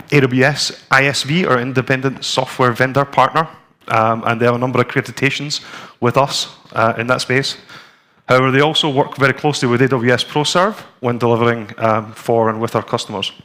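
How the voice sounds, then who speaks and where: Scottish man giving a relaxed tech talk. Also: medium pitch. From Scotland. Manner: relaxed, conversational, with frequent ums and restarts. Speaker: conference presenter. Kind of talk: technical conference talk with live demo. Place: conference room with a screen and audience.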